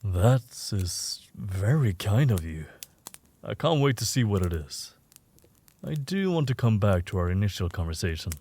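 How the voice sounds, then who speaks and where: A man's voice: on the low side, sing-song, a little slow, darkish tone, breathy edge, exaggerated voice. A man playing a courteous, self-assured underworld informant in an audio roleplay, talking in a polite, formal way. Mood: suave, composed.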